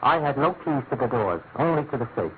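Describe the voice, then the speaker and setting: A man's voice: medium pitch, very animated, fairly quick, lightly acted. Man acting troubled British clerk, tinny old radio. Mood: defensive, troubled. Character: troubled, formal male senior government clerk, deferential. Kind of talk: classic radio drama acting. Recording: noisy, narrow-band old radio recording.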